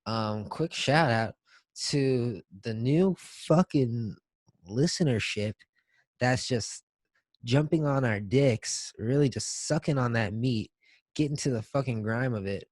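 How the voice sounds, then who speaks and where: A man's voice: mid-range voice, slow pace, no echo. A man co-hosting a podcast in a casual, comedic chat, talking in profane slang. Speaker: podcast co-host.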